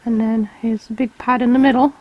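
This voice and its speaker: A woman with a mild cold, a touch hoarse, talks through a drawing tutorial in an easy step-by-step way. Her voice sits a little high. The recording is close and dry, with no room sound. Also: fairly quick, dry recording, highs cut off. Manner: relaxed, explains step by step while drawing. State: has a cold, slightly hoarse. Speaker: art tutor.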